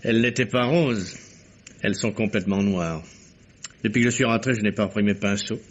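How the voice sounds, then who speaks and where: A world-weary reporter in his thirties played by a male actor in a vintage radio mystery. His voice is fairly low, his manner gloomy, guarded and evasive. The sound is slightly dull, like an old broadcast. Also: a little fast, lightly acted. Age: 30s. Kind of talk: old French radio drama acting.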